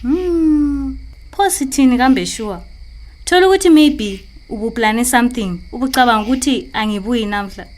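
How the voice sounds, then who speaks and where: Guarded Zimbabwean girlfriend, acted by a woman, higher-pitched. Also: moderate pace, lightly acted. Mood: suspicious. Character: younger girlfriend of married man, suspicious, guarded. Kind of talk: radio drama acting in Ndebele.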